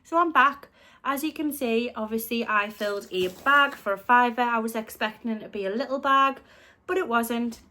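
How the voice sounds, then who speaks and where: A British woman talks casually about her thrift finds, her voice sitting a little high for a woman. She is a bit snuffly from a chest infection and rambles, often stopping to correct herself. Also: moderate pace, bright, forceful tone. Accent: British English. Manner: chatty, rambling, self-correcting. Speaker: reselling vlogger. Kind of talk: casual vlog chat.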